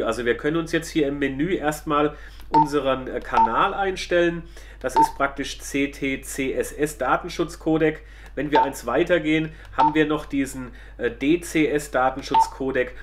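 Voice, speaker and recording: A man's voice: medium pitch, background noise. Casual man reviewing a product. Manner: colloquial, chatty, addressing viewers directly. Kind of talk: casual product demonstration to viewers.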